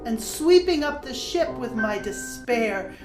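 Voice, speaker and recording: An actress as a reflective woman poet looking back on her life in an audio book drama. She recites verse slowly and deliberately. The voice rings in a roomy space, with instrumental music underneath. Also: on the high side, animated. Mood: solemn, wistful. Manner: measured, deliberate phrasing. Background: musical instrument playing.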